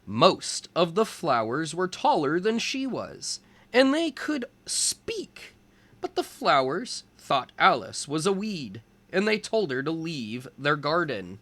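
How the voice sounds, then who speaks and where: A man reads a storybook aloud for young children in a slightly high voice with lively, swooping intonation. He takes his time with each phrase, telling the tale expressively. The sound is close and dry. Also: slow, no room sound.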